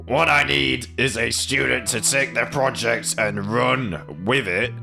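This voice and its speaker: Super gravelly sharp-voiced guy voicing game lines in a lets play, messing about, music in the back. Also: medium pitch, slow. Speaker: let's play co-host. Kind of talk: reading visual novel dialogue with joking ad-libs. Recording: music behind the voice. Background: guitar music.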